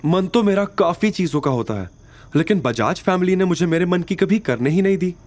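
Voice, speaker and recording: A man's voice: high-pitched, lively intonation, moderate pace, darkish tone, voice pushed up. A man voicing an emotional, wronged young woman in an audio drama, pleading and bitter. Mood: sad, resigned.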